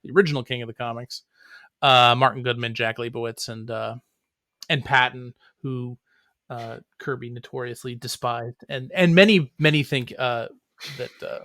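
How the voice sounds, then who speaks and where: Bright, forceful man telling anecdotes. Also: medium pitch, fairly even intonation, moderate pace, no echo, studio quality. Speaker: interview guest, writer. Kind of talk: casual, anecdotal interview talk.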